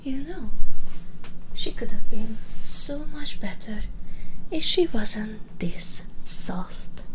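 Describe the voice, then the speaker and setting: A woman voicing a menacing, vengeful female vampire in an intimate ASMR roleplay, breathy and whispery, taunting and condescending with deliberate phrasing, close to the microphone. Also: fairly high pitch, slight background noise, poor audio. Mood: threatening, teasing. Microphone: close binaural microphone.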